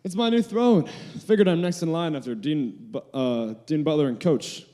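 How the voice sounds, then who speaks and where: A young man playing a cocky, self-centered high school boy in a staged reading. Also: moderate pace, dark tone, close to an everyday voice. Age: young adult. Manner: cocky, mocking. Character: smug, arrogant teen boy class king.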